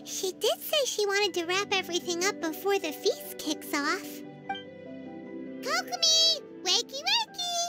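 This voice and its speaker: A woman voicing a tiny, excitable childlike fairy companion in a video game, squeaky and dramatic. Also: unhurried, heavily acted. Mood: urging. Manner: exclamatory, speaks of herself in third person. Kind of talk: video game character acting. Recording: loud music behind the voice. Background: playful game music.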